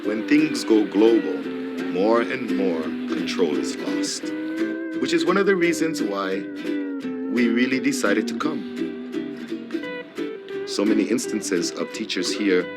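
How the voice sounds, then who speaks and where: A man speaking earnestly and in a measured way in a documentary interview clip. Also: fairly low pitch, animated. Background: loud guitar music.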